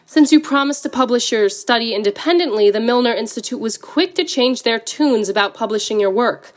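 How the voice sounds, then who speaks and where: An actress playing a polished, probing broadcast interviewer in an audio drama, polite and professional. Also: female voice, on the high side, a little fast, close to an everyday voice.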